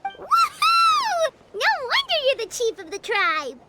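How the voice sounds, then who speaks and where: A woman voicing a tiny, excitable, girlish fairy companion in a video game, eager and exclamatory. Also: extremely high pitch, takes it slowly, heavy background noise, full character voice. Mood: excited. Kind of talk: video game character acting.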